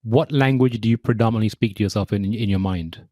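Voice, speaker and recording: A man's voice: lowish voice, fast, warm tone, dry recording, clean studio sound. A man hosting a podcast, with polite, prepared introductions and questions for his guest. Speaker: podcast host. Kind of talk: interview hosting.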